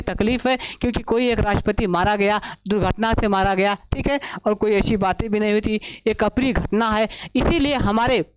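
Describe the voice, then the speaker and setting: A male current affairs teacher giving an exam-prep lecture, hoarse from a long day of classes, who keeps asking himself questions and answering them. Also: very high-pitched, no room sound. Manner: asks himself questions and answers them, repeats key points.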